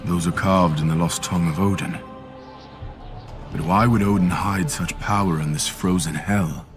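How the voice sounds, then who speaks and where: An actor playing a bold, hot-headed young warrior god in a video game, declamatory and defiant. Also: male voice, deep voice, steady tone, normal speed, lightly acted. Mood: questioning. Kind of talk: heroic video game acting. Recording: loud music under the voice.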